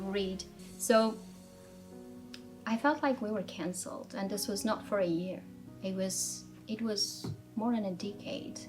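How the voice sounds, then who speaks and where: A woman in her thirties from Armenia, telling her life story in a measured, reflective way in an interview, with frequent fillers and restarts. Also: mid-range voice, darkish tone. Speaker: interviewee, strategy director. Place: office.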